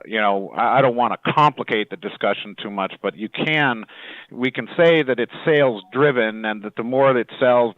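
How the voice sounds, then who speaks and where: Male business presenter, fairly deep, measured and halting with formal wording, heard over a thin phone connection. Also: no echo. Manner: hesitant, measured, formal wording with many pauses. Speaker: company presenter on a conference call. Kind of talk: unscripted technical business explanation. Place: conference call over a phone line. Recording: dry, tinny phone-line conference call audio.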